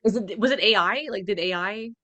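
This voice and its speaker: Bright-voiced woman chatting on podcast. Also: mid-range voice, fairly quick, no echo. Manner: animated, blunt, opinionated. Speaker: podcast co-host. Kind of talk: casual reaction chat.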